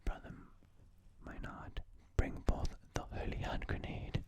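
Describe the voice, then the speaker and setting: Casting: ASMR host for whispered quote readings, breathy and unvoiced, very unhurried delivery.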